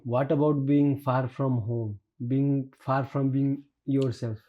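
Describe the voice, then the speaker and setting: A man's voice: medium pitch, fairly even intonation, takes it slowly, phone-quality sound. A young man from Nepal hosting a casual interview podcast, asking short questions and murmuring along. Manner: brief prompts and backchannel murmurs. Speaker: podcast host.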